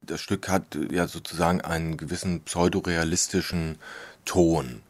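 A man's voice: sits low, fairly even intonation, normal speed, no echo. A man explaining his artistic decisions in reflective, unscripted talk.

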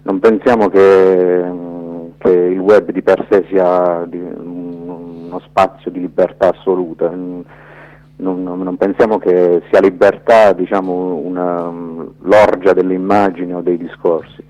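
A man with a deep, dark voice answers interview questions off the cuff. He pauses often, reaching for the right word as he reflects. The sound is dull and low in fidelity, like a modest radio recording. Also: flat delivery. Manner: hesitant, halting, reflective, searching for words. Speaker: documentary filmmaker, interview guest.

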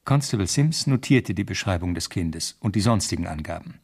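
An actor playing an earnest young police constable, a newcomer who also narrates the German radio play. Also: male voice, low-pitched, animated, brisk, vocal fry, breathy edge, full character voice. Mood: reflective narration.

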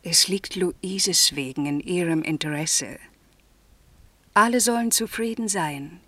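An actress playing a discreet, earnest governess in a 1960s radio play, speaking in a hushed, halting, careful way. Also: female voice, fairly low pitch, no room sound, exaggerated voice. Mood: conciliatory. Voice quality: breathy, hushed. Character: discreet, earnest English governess, soft-spoken go-between.

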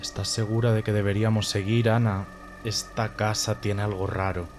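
A man's voice: on the low side, little pitch movement, moderate pace, over music, natural voice. A man playing a nervous, hesitant young trainee cameraman, reluctant and easily frightened, in a horror audio drama. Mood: uneasy. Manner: hesitant, anxious.

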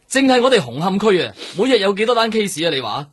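An actor with a Hong Kong Cantonese accent playing an exasperated middle-aged police superior in a radio drama, lecturing with pointed questions in a husky voice. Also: male voice, high-pitched, big pitch swings, brisk, heavily acted.

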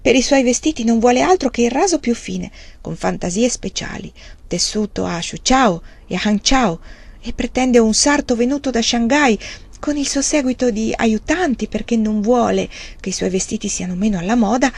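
A woman reading the part of a quiet, patient young peasant wife who speaks calmly and evenly. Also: a little high, creaky, pitched above the performer's own voice. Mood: reporting. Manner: calm, measured.

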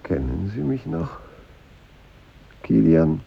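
An actor playing an earnest schoolteacher in a 1950s radio drama, formal, probing and insistent. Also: male voice, low-pitched, wildly swooping pitch, slow, vocal fry, slight background noise, full character voice. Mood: polite, concerned. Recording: muffled old radio recording.

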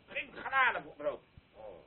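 Drunken male bully for radio drama: slurred, slow, volatile pitch, tinny old recording. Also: wildly swooping pitch, crowd noise. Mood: threatening. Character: drunken, threatening, bullying man with slurred rambling. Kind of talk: radio play acting.

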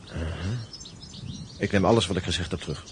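A man's voice: low voice, brisk, background noise, close to an everyday voice. A man playing an eager fellow prisoner.

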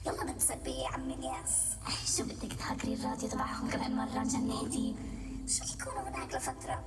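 Casting: disillusioned female spirit for radio play, Palestinian Arabic, hushed and whispery, swooping pitch, distant and echoing. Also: big pitch swings, very fast. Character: dead woman ghost, restless, disillusioned, chatting among spirits. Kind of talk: radio drama dialogue. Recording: roomy, distant voice under loud music.

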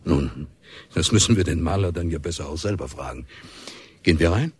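An actor playing an urbane state commissioner, formal and courteous, interpreting in a radio drama. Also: male voice, rumbling low, animated, a little fast, slightly rough, heavily acted. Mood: brisk, official.

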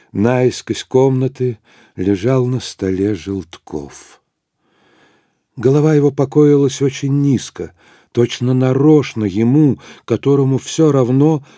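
Very mellow, solemn male narrator. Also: mid-range voice, no echo, clean studio sound. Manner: measured, solemn storytelling. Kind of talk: dramatic literary narration for audio drama.

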